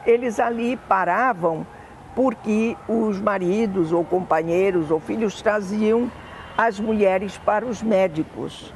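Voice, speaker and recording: An older woman with a Brazilian Portuguese accent, fondly recalling family memories in an oral history interview, often pausing to correct herself. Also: mid-range voice, darkish tone, a little hiss or hum. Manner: fond, meandering reminiscing with self-corrections.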